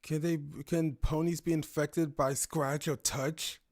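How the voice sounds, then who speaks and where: A man's voice: fairly high pitch, fairly even intonation, measured pace, a little mellow. A man giving casual, spontaneous reaction commentary, musing and thinking aloud as he goes.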